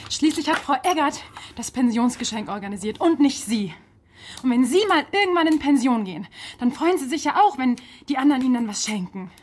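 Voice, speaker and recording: An actress playing a cheeky, chatty young office assistant in a radio drama. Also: female voice, high voice, a little hiss or hum. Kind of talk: radio drama, narrating and acting. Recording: radio drama with faint music.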